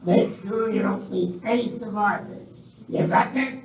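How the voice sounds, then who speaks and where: A man's voice: high voice, a little slow, darkish tone. Guy doing bumbling mean outlaw henchman, radio western, echoey and far from the mic. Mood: menacing, conspiring. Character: ruthless but bumbling male outlaw henchman. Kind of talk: amateur live radio western play. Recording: echoey room, distant, noisy.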